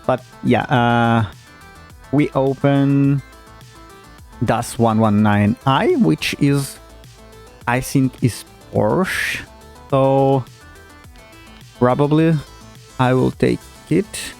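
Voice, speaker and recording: A man's voice: mid-range voice, drawn-out words, gravelly, long pauses, darkish tone. A man giving casual, hesitant commentary as he plays a racing game, with many fillers and restarts. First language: not German. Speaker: gaming YouTuber. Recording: game music playing underneath.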